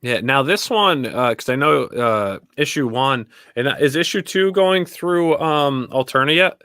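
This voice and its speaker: Bright-voiced man bantering, lively pitch swings. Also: measured pace, no echo, studio quality. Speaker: co-host. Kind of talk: casual bantering chat.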